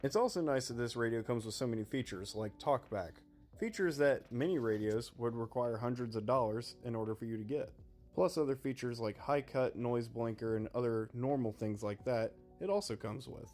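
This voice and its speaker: A man's voice: medium pitch, moderate pace, darkish tone. A man narrating a scripted product review in an explanatory, presenter-like way. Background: background music.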